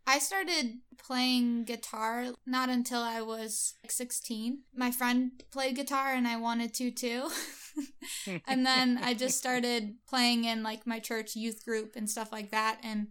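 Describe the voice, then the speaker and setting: Giggly young woman, smooth higher voice. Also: level tone, slow pace, a bit bright. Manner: hesitant, many fillers and giggles. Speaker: guest singer-songwriter. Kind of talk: casual interview answers.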